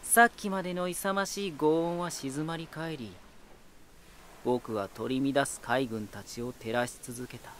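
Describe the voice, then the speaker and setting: An actor playing a proud, ominous lighthouse spirit hardened by war, deliberate, cryptic and condescending, in a dramatic voice drama. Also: male voice, mid-range voice, steady tone, a bit bright, slight background noise, close to an everyday voice. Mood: grave narration. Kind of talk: dramatic Japanese voice drama acting.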